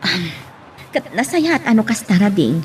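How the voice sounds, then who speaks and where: A woman's voice: medium pitch, very animated, normal speed, natural voice. A woman playing a caring middle-aged village mother in a radio drama, with a Filipino accent. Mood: fond. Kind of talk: Ilocano radio drama acting. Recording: faint background noise.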